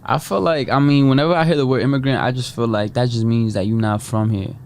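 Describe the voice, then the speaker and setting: A man co-hosting a casual chat, thinking out loud and often asking "you know what I mean". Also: mid-range voice, steady tone, a little hiss or hum. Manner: fast, reflective, says "you know what I mean" a lot. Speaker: co-host.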